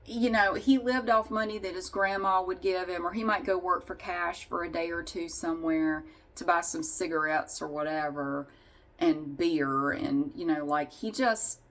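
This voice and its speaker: A woman's voice: lowish voice, a bit bright. A woman vlogger casually telling a personal story in a candid, wry and sarcastic way.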